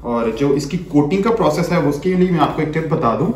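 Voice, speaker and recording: A man's voice: a little high, lively intonation, a little fast, a little mellow. A man in his thirties, a chef, giving a step-by-step cooking demonstration in a home kitchen while working with his hands. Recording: echoey kitchen, faint background noise.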